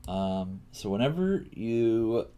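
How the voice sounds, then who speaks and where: A man's voice: lowish voice, big pitch swings, slow, dark tone, clean tone. A man teaching coding on a live stream, casually thinking aloud and often questioning himself as he works. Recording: faint typing and room noise. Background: computer keyboard typing and clicking.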